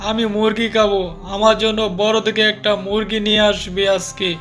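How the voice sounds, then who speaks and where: A man's voice: high-pitched, flat delivery, brisk, fairly bright tone, faint music behind. A man voicing an eager, demanding little boy fox cub in a cartoon. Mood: eager pleading. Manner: pleading, demanding. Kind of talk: animated storybook cartoon dialogue.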